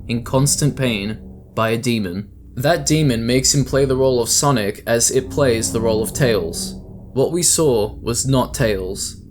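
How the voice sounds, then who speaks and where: A man giving scripted, explanatory narration as the host of a video essay. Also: mid-range voice.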